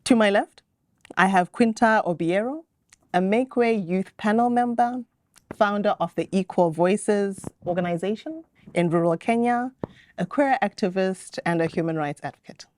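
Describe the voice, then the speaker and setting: A woman moderating a conference panel, speaking in a clear, measured way that trails off at the end of phrases. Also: medium pitch, darkish tone, a little hiss or hum. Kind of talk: panel introductions. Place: conference venue.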